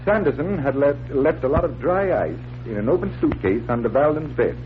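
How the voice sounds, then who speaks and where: An actor playing a confident stage magician detective in a 1940s radio drama, speaking with measured, theatrical authority. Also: male voice, mid-range voice, lively intonation, natural voice. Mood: explaining. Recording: muffled, humming, hissy 1940s radio recording.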